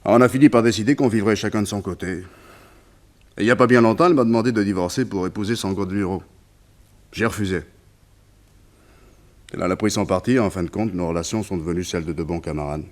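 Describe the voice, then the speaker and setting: A low-pitched man played by an actor, a wistful circus tamer who once served as an army officer. He tells his story conversationally, with small hesitations, in the manner of French radio drama. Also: fast, long pauses, natural voice. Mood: resigned. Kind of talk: French radio serial acting.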